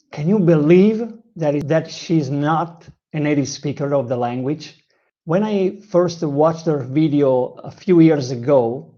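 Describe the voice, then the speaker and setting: A man's voice: a little high, mellow, muffled recording. A man who learned English as a second language, earnestly telling his personal learning story, with frequent fillers and restarts. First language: not English. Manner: earnest, halting with many fillers. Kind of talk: personal video narration.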